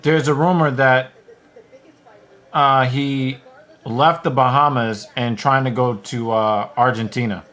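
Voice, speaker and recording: A young man in easygoing podcast banter, his voice sitting at an ordinary middle pitch. He talks in a dry, teasing way, dropping crude jokes and reading out news between laughs. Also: steady tone, slow, a bit bright. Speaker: podcast co-host. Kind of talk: casual banter.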